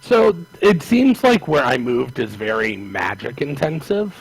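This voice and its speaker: A man's voice: mid-range voice, very animated, measured pace, creaky, breathy edge, dry recording, low-quality recording. A man co-hosting a casual podcast, talking in a rambling, hesitant way with many restarts. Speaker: podcast co-host. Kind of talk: casual chat.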